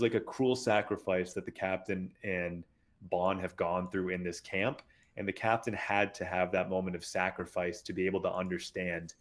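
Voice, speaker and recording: Deep-voiced young man musing on themes. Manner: thoughtful, explains with many "like"s. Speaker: podcast co-host. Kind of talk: casual analytical discussion.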